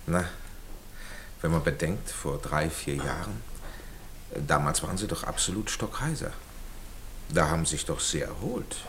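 An actor playing a genial middle-aged gentleman in a radio drama, polite and measured in his manner. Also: rumbling low, expressive, a little hiss or hum. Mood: courteous, reflective. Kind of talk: naturalistic radio drama acting.

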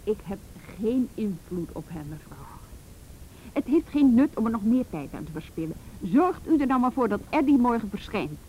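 A brisk woman official in a radio drama, firm and admonishing. Her voice is fairly high and very animated, over faint recording noise. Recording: noisy old radio play recording.

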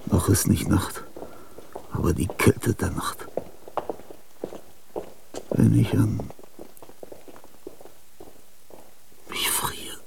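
An actor in a radio play voicing a weary, bitter aging composer, talking in rambling fragments with murmured asides and sudden outbursts, husky and gravelly. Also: male voice, fairly low pitch, slow pace, whispered, full character voice, low-quality recording. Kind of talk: radio drama monologue. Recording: dry studio radio play.

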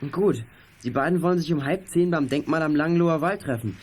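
A man's voice: fairly high pitch, brisk, a little mellow, lightly acted. An actor playing a keen, confident boy detective who leads his friends in a children's radio play. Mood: thoughtful, confident. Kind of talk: 1980s German children's radio play acting.

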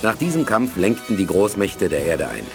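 A man's voice: lowish voice, sing-song, normal speed, soft background music. A man narrating a scripted radio play in a storytelling role.

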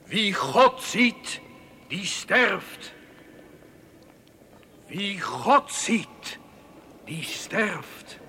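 An actor playing a solemn, ominous otherworldly voice in a radio drama, intoning prayer and warnings in drawn-out, forceful phrases. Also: male voice, high-pitched, sing-song, exaggerated voice. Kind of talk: liturgical chanting in radio drama. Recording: long cavernous reverb, noisy recording.

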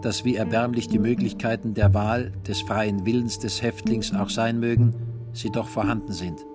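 An actor narrating prison camp life in a sober, measured, literary radio drama style. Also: male voice, fairly low pitch, fairly even intonation, natural voice. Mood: sober, grave. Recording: studio voice over guitar music.

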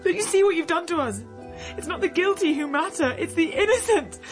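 An actress playing a distressed, reproachful grieving sister in a radio drama. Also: female voice, very high voice, animated, a little fast. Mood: anguished. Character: distressed, reproachful woman, sister of a dead man. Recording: music under the voice.

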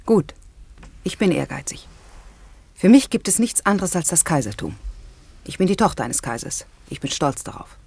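An actress playing a proud, ambitious middle-aged Roman empress in a German radio play, haughty and accusing. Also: female voice, lowish voice, very animated, moderate pace, gravelly, long pauses, darkish tone, husky, full character voice. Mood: indignant, proud.

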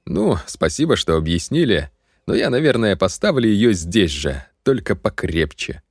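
A man's voice: on the low side, very animated, normal speed, gravelly, husky, no echo, clean studio sound, full character voice. A man voicing a cheerful, naive young male soldier who talks in a friendly, easygoing, slightly sheepish way.

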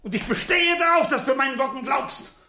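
A man playing an evasive, irritable uncle in a German radio crime drama, halting and easily indignant. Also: very high-pitched, vocal fry, bright, forceful tone, a little hiss or hum, heavily acted. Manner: hesitant, halting, turning indignant when pressed. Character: evasive, irritable uncle questioned by his teenage niece.

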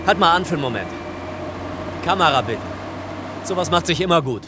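An actor playing a brisk, bossy news crew leader in a radio play, with a clipped, commanding manner. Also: male voice, fairly high pitch, expressive, a crowd in the background, close to an everyday voice. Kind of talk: German radio play acting.

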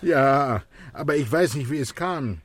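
An actor playing a frightened, bewildered murder suspect who speaks haltingly in an old radio drama. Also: male voice, mid-range voice, animated, natural voice. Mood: frightened, defensive. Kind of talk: 1960s German radio drama acting.

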